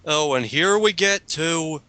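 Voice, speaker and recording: A man's voice: a little high, animated, slow pace, sharp tone, no echo. A man reading aloud to a group in a mocking style, with casual comments in between. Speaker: reader in a group dramatic reading.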